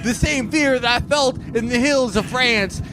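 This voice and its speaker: A man with a distinctly high voice plays a tabletop game, riffing jokingly in character. Clear noise runs behind him. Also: measured pace.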